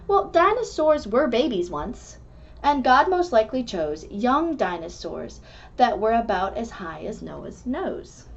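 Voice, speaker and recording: A woman reading a rhyming children's book aloud in an expressive, measured storytelling manner. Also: a little high, gravelly. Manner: animated, measured storytelling delivery. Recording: dull lo-fi recording with background noise.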